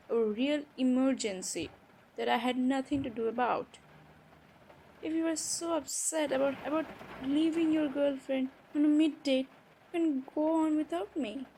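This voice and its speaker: A woman playing a hurt, defiant young college-age stepsister in an improvised audio roleplay, speaking emotionally and haltingly with sighs and pauses. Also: high-pitched, mellow, rough recording. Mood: angry, defiant. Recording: faint background noise.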